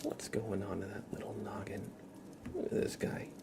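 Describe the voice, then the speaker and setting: An American man hosting an ASMR livestream, speaking in a soft, breathy whisper with an unhurried, gently reassuring manner. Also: on the low side, huge pitch swings. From East Coast of the United States, near New York. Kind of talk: whispered ASMR, casual livestream chat and card reading.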